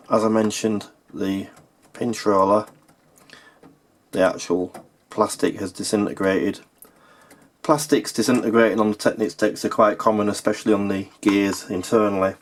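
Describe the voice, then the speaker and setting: A man with a fairly low voice talks through a practical repair in an informal, matter-of-fact way. He sounds like a technician explaining each step while his hands are busy. The recording has clear background noise and clicking. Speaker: repair technician and video host. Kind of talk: informal hands-on tutorial narration.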